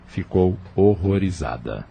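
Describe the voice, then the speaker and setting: A man narrating an audio novel from a script in a measured storytelling manner. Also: low voice, lively intonation, creaky, mellow, husky. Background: music.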